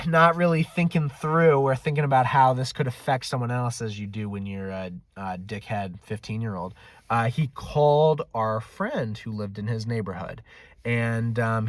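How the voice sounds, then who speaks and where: A man's voice: medium pitch, no room sound. Casual man rambling, dry close sound. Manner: casual, swearing, self-deprecating. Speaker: video host. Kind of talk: casual rambling storytelling to camera.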